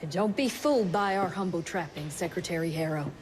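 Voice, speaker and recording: An actress playing a composed, authoritative woman doctor who leads an agency in a video game, measured and formal. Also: fairly low pitch, natural voice. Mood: firm, assured. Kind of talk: video game cutscene acting. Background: music.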